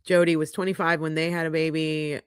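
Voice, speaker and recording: A woman's voice: low-pitched, little pitch movement, fairly quick, clean tone, dry recording, studio quality. A middle-aged woman hosting a livestream, congested and sniffly, chatting frankly as she reads viewer comments aloud. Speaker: podcast host reading live chat. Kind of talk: casual livestream chat. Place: home podcast studio. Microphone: studio microphone.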